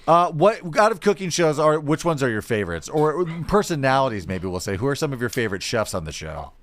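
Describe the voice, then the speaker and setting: A man's voice: mid-range voice, sing-song, a little fast, dry recording. A young American man chatting casually as a podcast co-host. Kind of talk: casual group chat.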